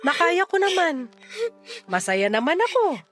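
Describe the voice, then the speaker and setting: A woman's voice: sits high, a little slow, faint music behind, full character voice. A Filipino woman acting as a playful, fiercely protective single mother around forty in a melodramatic radio drama. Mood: tender, reassuring. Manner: animated, teasing, exclamatory.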